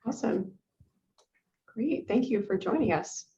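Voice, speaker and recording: A woman's voice: medium pitch, animated, measured pace, mellow. Welcoming woman on a dull lo-fi recording. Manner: friendly, welcoming. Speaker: club president. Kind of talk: meeting chairing. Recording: dull lo-fi sound.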